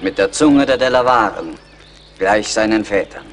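A dignified young warrior declaims proudly in a low voice. The delivery is solemn, measured radio drama, with faint noise in the background. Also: male voice, lively intonation. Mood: proud, calm. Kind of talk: German radio play acting.

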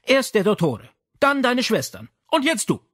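A man's voice: very high voice, wildly swooping pitch, moderate pace, fairly bright tone, no room sound, studio quality, voice pushed up, exaggerated voice. A man voicing an exasperated, fretful Italian mother in an audiobook, indignant and plaintive. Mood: aggrieved. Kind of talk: audiobook character acting.

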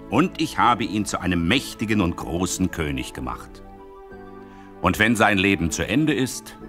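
An actor playing a solemn prophet who relays God's words in measured proclamation in an audio drama. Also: male voice, deep voice, a bit bright, full character voice. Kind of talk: audio drama acting, declamatory.

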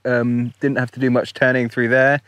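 A man's voice: mid-range voice, little pitch movement, moderate pace, fairly bright tone, dry recording. A man in his thirties giving casual, matter-of-fact first-person commentary as a paragliding vlogger, recorded outdoors on an action camera. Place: outdoors, in flight and in an open field. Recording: wind and outdoor noise.

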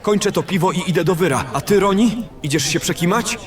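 A man's voice: high-pitched, animated, fairly quick. A man playing a brash, greedy fantasy adventurer in an audio drama, talking in a coarse, boastful, grumbling way. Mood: dismissive.

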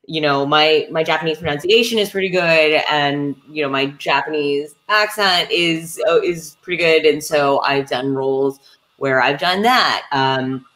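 A woman's voice: sits low, unhurried, fairly bright tone. A woman in her thirties from the United States, a voice actor on a video call from home, reflecting at length with "you know" and "um.". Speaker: voice actor panelist. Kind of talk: thoughtful casual chat. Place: home room with a gaming chair. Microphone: webcam on a video call.

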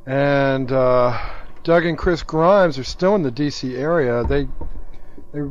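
A man's voice: medium pitch, slow. A man speaking casually as a musician guest in a radio interview. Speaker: interview guest, band drummer. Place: radio station studio. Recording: dull, noisy 1982 AM radio aircheck.

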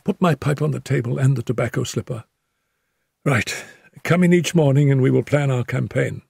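A man voicing an injured detective who mutters in a weak, hoarse whisper, wry and scheming, in an audiobook. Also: medium pitch, moderate pace, darkish tone, dry recording, studio quality, voice pushed up. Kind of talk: audiobook character voice.

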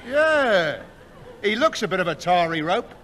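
A cocky Cockney dodgy dealer, high and very lively in pitch, full of slangy patter in old radio comedy style. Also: male voice, measured pace, some chatter behind, slight background noise, full character voice. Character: cocky Cockney wide-boy trader, breezily crooked. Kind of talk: 1960s radio sitcom acting.

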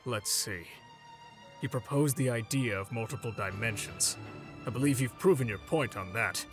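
A man voicing a big, earnest, stubborn heroic mentor in a comic dub, deliberate and declamatory, over music. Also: medium pitch, close to an everyday voice. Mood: measured reasoning. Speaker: voice actor in a fan comic dub. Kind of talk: dramatic comic dub acting. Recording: prominent music behind the voice.

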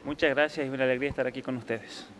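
A young man from Argentina, his accent softened by years abroad, answering interview questions in an earnest, emphatic way. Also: medium pitch, steady tone, rapid-fire, slight background noise. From San Juan, Argentina. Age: young adult. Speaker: author being interviewed.

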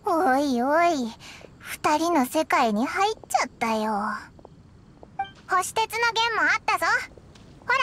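A woman voicing a small, excitable fairy companion in an anime game, childlike and brash with rough boyish wording. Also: slow pace, long pauses, slight background noise, full character voice. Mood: excited. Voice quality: squeaky, childlike.

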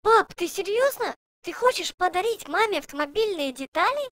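A woman voicing a cheerful, insistent little girl pig in a children's cartoon, chirpy and expressive with childlike questioning. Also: extremely high pitch, moderate pace, no echo, full character voice. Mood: doubtful, bossy.

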